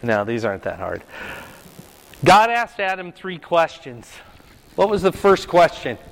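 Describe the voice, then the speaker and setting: Older man with a loud teaching voice. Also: on the high side, big pitch swings, unhurried, vocal fry. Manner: questioning, repeats and presses for answers. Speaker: Bible study teacher. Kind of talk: interactive Bible class, quizzing listeners. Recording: reverberant room.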